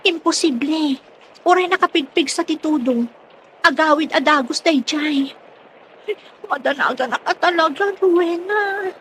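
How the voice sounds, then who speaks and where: A woman's voice: high-pitched, a little slow, fairly bright tone, a little hiss or hum, poor audio. A woman playing an anxious, fretful daughter in a radio drama, with an Ilocano accent. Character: anxious daughter fretting over her missing father.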